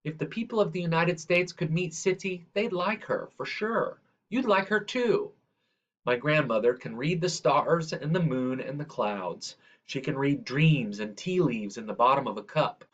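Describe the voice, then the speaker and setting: A man reading a children's story aloud in a measured, expressive way, drawing out the ends of phrases. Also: on the high side, highs cut off. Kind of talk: read-aloud scripted narration.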